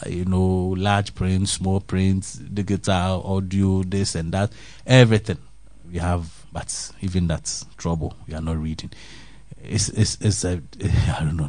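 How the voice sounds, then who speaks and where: A man's voice: low-pitched, a little mellow, no echo. A man reading a faith news story aloud, then musing on it in an earnest, reflective way with restarts and fillers.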